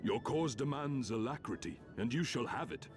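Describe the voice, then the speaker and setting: A man's voice: medium pitch, lively intonation, moderate pace, lightly acted. A gracious, ancient sea spirit who speaks with old-fashioned formality, as a man acts him out. His words ring out with a long echo. Game music plays steadily behind him. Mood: solemn.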